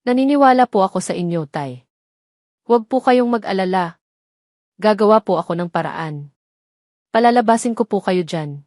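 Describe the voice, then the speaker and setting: A woman's voice: mid-range voice, lively intonation, normal speed, pauses often, a little mellow, no echo, clean studio sound, lightly acted. A woman voicing a humble, anxious young nurse in an audio drama, polite and pleading. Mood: reassuring, earnest.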